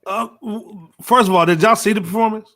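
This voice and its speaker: A man's voice: sits high, steady tone, fairly quick, bright, forceful tone. A man hosting a podcast in a casual, chatty style. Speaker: podcast host. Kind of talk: casual chat.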